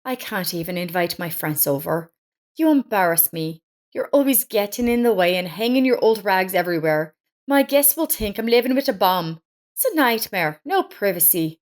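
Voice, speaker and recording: A woman's voice: mid-range voice, lively intonation, normal speed, lightly acted. A woman voicing a cruel, indignant daughter-in-law in a story, scolding and accusing.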